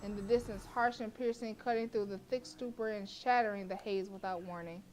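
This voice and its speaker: A woman streamer reads a horror visual novel aloud with a mid-pitched voice, giving the text a dramatic delivery. She keeps cutting in with profane, joking asides. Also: steady tone, fairly quick, low-quality recording.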